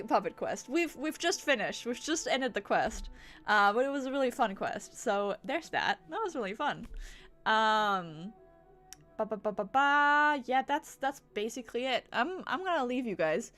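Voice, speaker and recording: A woman's voice: fairly high pitch, sing-song, normal speed, fairly bright tone, soft background music. A woman streaming games, chatting casually in a rambling, giggly way that circles back on itself. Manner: rambling, giggly, repeats herself. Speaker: video game streamer. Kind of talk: casual livestream chat.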